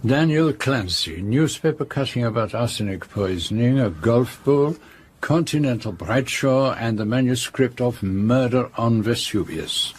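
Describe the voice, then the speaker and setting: An actor playing a courteous, fastidious detective in a radio drama, measured and precise, with a French-speaking Belgian accent. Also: male voice, medium pitch, lively intonation, slight background noise, close to an everyday voice. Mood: precise, methodical. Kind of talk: radio drama dialogue and narration.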